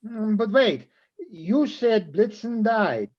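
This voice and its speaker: A man's voice: sits high, expressive, slow, a little mellow, muffled recording, exaggerated voice. A man reading a thoughtful, inquisitive traveler in a radio play.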